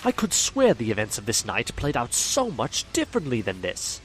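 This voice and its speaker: A man's voice: medium pitch, huge pitch swings, moderate pace, fairly bright tone, rough recording. A man narrating a fan audio drama in a hammy, theatrical way, speaking straight to the audience. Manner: hammy, fourth-wall-breaking storyteller.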